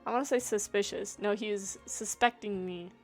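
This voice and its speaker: A woman's voice: medium pitch, steady tone, normal speed, darkish tone. A woman streamer giving casual, rambling, self-deprecating commentary as she plays a game. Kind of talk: casual live commentary over gameplay. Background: game music.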